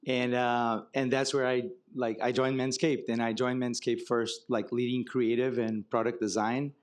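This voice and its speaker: Brazilian-accented man, relaxed and wry. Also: medium pitch, normal speed. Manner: relaxed, wry, explains with examples. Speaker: marketing executive guest. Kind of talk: onstage fireside chat. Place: conference stage before an audience.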